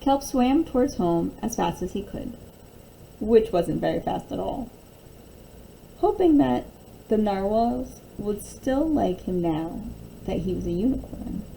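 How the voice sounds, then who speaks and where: A woman reading a picture book aloud like a teacher, in an expressive, deliberate storytelling manner. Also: mid-range voice, vocal fry, a little hiss or hum, poor audio.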